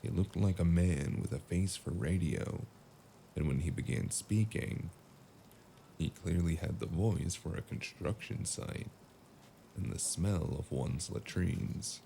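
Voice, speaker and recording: A man playing a weary, wry stranger in a cyberpunk noir audio drama, speaking in a dry, deadpan manner. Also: sits low, slow, creaky, echoey room, a little hiss or hum, heavily acted. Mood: brooding narration. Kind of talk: cyberpunk noir narration and dialogue.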